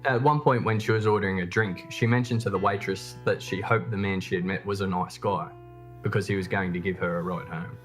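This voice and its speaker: A man's voice: low voice, fairly even intonation, a little fast, faint music behind. A man reading a scripted true crime documentary narration as its host.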